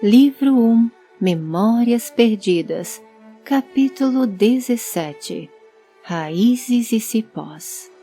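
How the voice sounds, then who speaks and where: Dark, mellow-voiced woman narrating a story. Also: a little high, animated, very slow, soft background music. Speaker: narrator and presenter.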